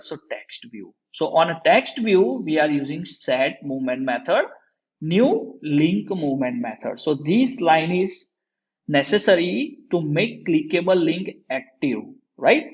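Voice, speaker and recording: Higher-pitched Indian man teaching, dull audio. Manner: repeatedly checks in with tag questions. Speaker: lecturer. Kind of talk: software tutorial, explaining code step by step. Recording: dull lo-fi microphone sound.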